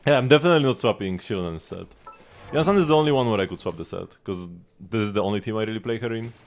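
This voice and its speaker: A man's voice: fairly low pitch, very fast. A man giving casual live gameplay commentary as a streamer, rambling and thinking aloud. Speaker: game livestreamer. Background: game music.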